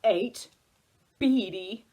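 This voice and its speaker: A woman leading preschool storytime, reading aloud in an expressive, playful way and speaking directly to young children. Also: mid-range voice, drawn-out words, a little hiss or hum.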